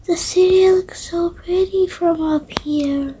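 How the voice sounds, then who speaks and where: High, slow-talking young-sounding female roleplayer. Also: steady tone, dark tone, low-quality recording. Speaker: video creator. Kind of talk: improvised game roleplay narration. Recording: faint background noise.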